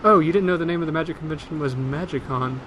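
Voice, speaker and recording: A man's voice: fairly high pitch, fast talker, a bit bright, a little hiss or hum, close to an everyday voice. A man playing a blunt, unbothered magic user with a dry, pedantic manner in a comedic audio drama. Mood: matter-of-fact. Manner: dry, matter-of-fact, pedantic. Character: Mike, blunt unbothered magic user guiding his reluctant friend.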